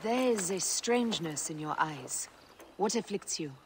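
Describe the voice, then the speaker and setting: An actress playing a composed, caring and direct Egyptian wife in a video game. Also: female voice, on the low side, animated, unhurried. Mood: tender concern. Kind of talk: video game cutscene dialogue. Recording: faint noise and ambience. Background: faint ambient sounds.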